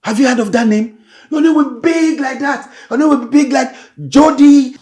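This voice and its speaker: An actor playing a secretive father who speaks to his daughter in a hushed, coaxing way. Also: very high voice, moderate pace.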